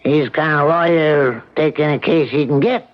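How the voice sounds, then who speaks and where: An actor playing an evasive, courteous small-town judge who hems and stammers, in an old-time radio drama. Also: male voice, fairly high pitch, normal speed, muffled recording, close to an everyday voice. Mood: evasive, hedging. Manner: hemming, stammering, evasive, polite.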